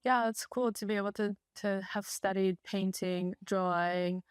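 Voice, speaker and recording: A woman's voice: medium pitch, fairly even intonation, unhurried, clean tone, no room sound, clean studio sound. A young woman interviewing an artist in a gallery, in a friendly, upbeat conversational manner. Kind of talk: casual interview. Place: art gallery.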